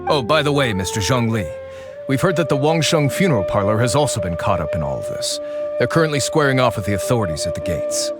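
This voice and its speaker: A man's voice: medium pitch, wildly swooping pitch, fast. A man voicing a shrewd male agent who talks in a dry, conspiratorial, knowing way, in a game scene. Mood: wry. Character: shrewd male agent of a foreign faction, wary. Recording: game audio with music behind.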